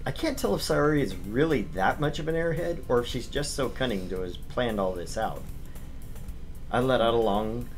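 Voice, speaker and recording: A man with a very expressive, swinging delivery narrates a visual novel on stream. He slips into casual asides, with faint music behind him. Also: mid-range voice, moderate pace. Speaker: streamer reading the narrator's part.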